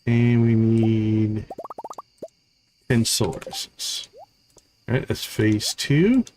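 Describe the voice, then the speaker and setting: A man's voice: fairly low pitch, slow pace, a little mellow. Male game streamer over background noise. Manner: thinks aloud, counts and lists items. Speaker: live streamer, gaming host. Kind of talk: casual gameplay commentary, talking through tasks.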